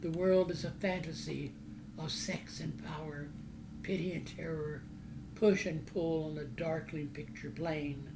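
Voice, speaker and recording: A man gives a theatrical author's reading with a slow, deliberate delivery. His voice sits a little high and sounds declamatory and dramatic. The recording has loud background noise. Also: fairly even intonation, reverberant. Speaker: author reading from own novel.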